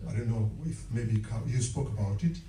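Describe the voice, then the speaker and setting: A man's voice: fairly low pitch, normal speed, mellow. A man with a Ugandan accent, a journalist putting formal, wordy questions at a press conference. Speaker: radio journalist asking questions. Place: large indoor press conference room. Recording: muffled, echoey room, distant, faint noise.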